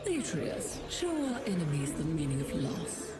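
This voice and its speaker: A woman's voice: low-pitched, sing-song, unhurried. A woman voicing a cruel, taunting demon in a video game with a sinister manner. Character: cruel, taunting demon who feeds on loss. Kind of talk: menacing video game voice acting. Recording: loud game music underneath.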